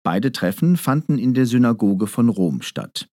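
A man's voice: medium pitch, very animated, unhurried, mellow, dry recording, clean studio sound. A man reading scripted documentary narration in a neutral, matter-of-fact way.

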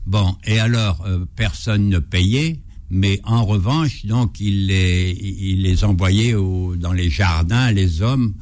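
An older man speaks with a clear Ardennes accent and a very deep voice. He tells stories as a radio guest, joking and rambling with plenty of fillers. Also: takes it slowly, few pauses, a little mellow. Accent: Ardennes French. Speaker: guest, surgeon and anthropologist. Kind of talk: radio interview, anecdotal.

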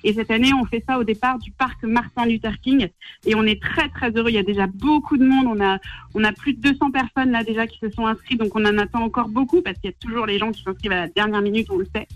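A woman guest on a morning radio show, talking upbeat and quick about a charity event, with many fillers. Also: on the high side, muffled recording, soft background music. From Mexican heritage, raised in France. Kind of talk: radio interview, casual.

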